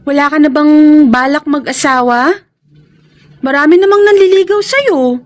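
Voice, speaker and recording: A woman's voice: sits high, moderate pace, no room sound, close to an everyday voice. A Filipino woman acting a chatty, nosy but caring best friend in a radio drama, expressively. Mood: teasing, curious.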